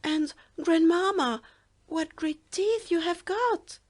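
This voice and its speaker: A woman voicing an innocent, trusting little girl in a storybook reading, with a bright, wide-eyed questioning manner. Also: very high voice, slow pace, voice pushed up. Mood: wondering.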